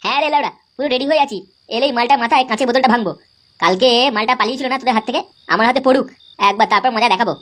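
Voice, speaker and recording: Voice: medium pitch, rapid-fire, a bit bright, natural voice. A performer voicing a brash, swearing cartoon village pal, coarse and cocky. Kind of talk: comic cartoon dialogue.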